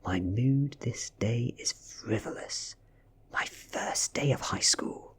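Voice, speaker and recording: A man with a British accent softly narrating a story in ASMR style, breathy and often near a whisper. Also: medium pitch, slow. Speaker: audiobook reader.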